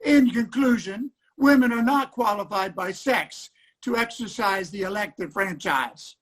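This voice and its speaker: A woman's voice: mid-range voice, measured pace, close to an everyday voice. A woman playing a formal courtroom advocate, delivering an oratorical argument in reader's theater.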